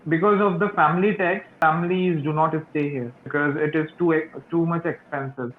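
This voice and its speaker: A man practicing English in a video-call interview, speaking slowly and carefully like a learner. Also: on the high side, steady tone, a little hiss or hum. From Pakistan. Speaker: interviewer and channel host. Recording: tinny phone-like call audio.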